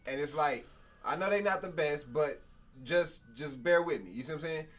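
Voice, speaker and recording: Slangy man with slightly high voice. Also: moderate pace, fairly bright tone, recorded from a distance, soft background music. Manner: slangy, profane, repeats tag phrases. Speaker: live stream podcast co-host. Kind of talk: casual chat with friends about video games.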